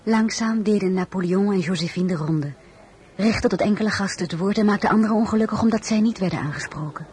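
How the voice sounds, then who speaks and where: A woman acts out a young French marshal's wife in a radio play. She is earnest and anxious, with feeling under every phrase. Her voice has a medium pitch for a woman. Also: quick pace, darkish tone, lightly acted. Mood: reflective narration. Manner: earnest, emotional, hesitant when pleading. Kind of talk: radio drama acting with first-person narration.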